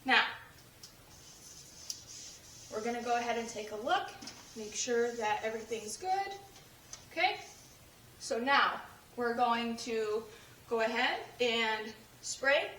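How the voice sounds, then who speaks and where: A young woman with a hoarse, fading voice, chatting through a cleaning demonstration with lots of fillers and restarts. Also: on the high side, a little slow, pushed and bright, slight background noise. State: losing her voice. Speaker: cleaning tips creator. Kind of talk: casual how-to demonstration. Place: home kitchen. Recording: echoey room, voice from a distance.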